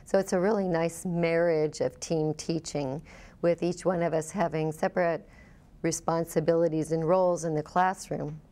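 A woman college instructor explaining a teaching program in a measured way. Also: sits low, steady tone, mellow.

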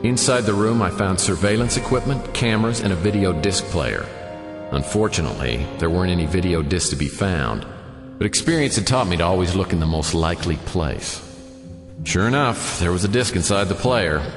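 Really low wisecracking private eye, noir radio play, big echo, music under it. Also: male voice, expressive, a little fast. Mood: brooding, narrating. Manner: dry, wisecracking. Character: wry, hard-boiled male private detective narrating his case. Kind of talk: noir radio drama narration.

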